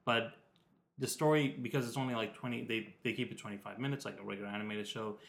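A lowish male voice rambles through casual talk, sick with a cold and searching for words with frequent ums. The room around him is echoey and reflective. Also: fairly even intonation, quick pace, creaky, fairly bright tone. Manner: meandering, hesitant with many ums. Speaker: podcast co-host. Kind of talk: casual chat.

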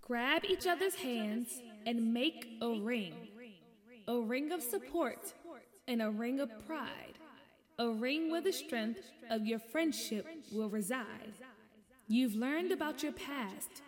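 A woman voicing a mysterious magical announcer in a children's story, formal, measured and encouraging. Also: fairly high pitch, expressive, long reverb tail. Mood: solemn. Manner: formal, measured, rhyming proclamation.

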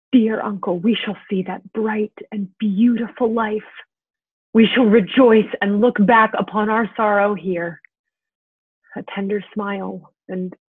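An actress playing a gentle, wistful woman, speaking softly and earnestly in a measured, intimate, naturalistic drama style. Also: mid-range voice, husky, no echo. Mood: sorrowful, hopeful. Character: gentle, wistful actress talking to an old friend by phone. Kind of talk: intimate naturalistic audio drama acting and monologue. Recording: tinny, band-limited phone line.

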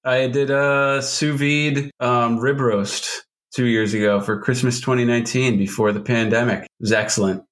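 A man chatting on a casual podcast, easygoing and relaxed, with dry humor. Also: mid-range voice, moderate pace. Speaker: podcast regular.

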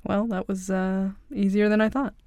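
Woman playing a cocky, creaky-voiced young mafia princess. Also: mid-range voice, level tone, normal speed, darkish tone, no echo, natural voice. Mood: taunting, menacing.

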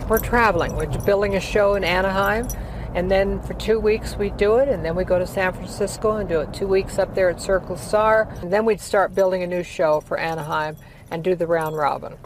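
An elderly woman in her eighties rambles through her memories, full of pauses and "uh"s. She sounds like a retired singer chatting outdoors, with loud passing cars behind her. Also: medium pitch. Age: 80s. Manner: rambling storytelling with many fillers. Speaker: interviewee, former opera singer. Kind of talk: casual life-story interview. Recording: heavy traffic noise behind.

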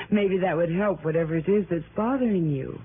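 A woman's voice: on the low side, moderate pace, lightly acted. An actress playing an anxious, evasive woman in an old radio drama, coaxing and flustered. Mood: uneasy, coaxing. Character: anxious, evasive woman, politely insistent hostess. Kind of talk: 1950s-60s radio drama acting. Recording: muffled old radio broadcast, clear hiss.

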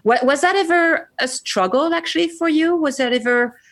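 A woman co-hosting a casual interview, agreeing warmly and asking follow-up questions. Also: high-pitched, normal speed. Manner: friendly, asks follow-up questions.

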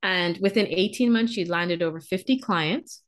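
A woman's voice: lowish voice, normal speed. A woman from the Bahamas, a business coach speaking as a podcast guest in an earnest, reflective way and laughing easily.

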